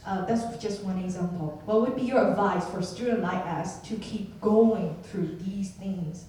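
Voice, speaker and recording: A young woman from Myanmar with a Burmese accent, asking a halting question from the audience. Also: medium pitch, dark tone. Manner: hesitant, halting, many fillers. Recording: echoey hall from far off, faint noise.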